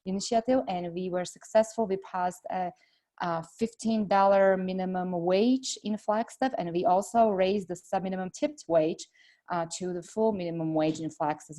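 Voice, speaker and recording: Slovak-accented woman, warm low voice. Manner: earnest, steady, frequent "uh" fillers. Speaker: congressional candidate. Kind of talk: interview answers.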